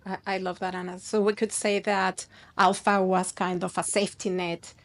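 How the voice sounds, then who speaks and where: A woman's voice: mid-range voice, flat delivery, normal speed. A woman hosting an interview podcast, asking questions with many fillers and repeated words. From born outside the United States. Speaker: podcast host.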